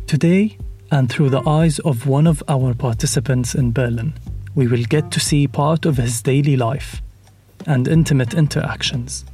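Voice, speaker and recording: Podcast host, man, scripted intro, warm mid-pitched voice.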